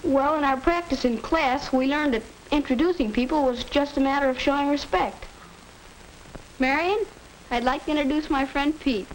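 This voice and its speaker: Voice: sits high, normal speed, lightly acted. A young performer playing a polite, earnest American schoolboy in a 1940s instructional film, speaking with careful, rehearsed diction. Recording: noisy 1940s educational film soundtrack.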